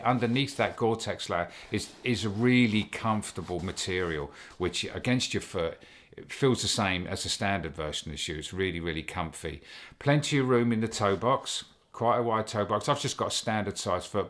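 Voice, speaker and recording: Low-voiced man with a cold. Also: a bit bright. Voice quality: slightly nasal. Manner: chatty, enthusiastic, many fillers. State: has a cold. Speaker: running shoe reviewer, channel host. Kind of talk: casual product review to camera.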